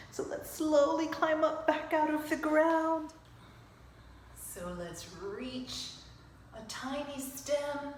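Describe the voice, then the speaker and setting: A woman telling a children's story expressively, guiding young listeners to act along with her. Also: high-pitched. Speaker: storyteller, author reciting own story. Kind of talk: children's storytime with movement cues. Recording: roomy echo, faint background noise.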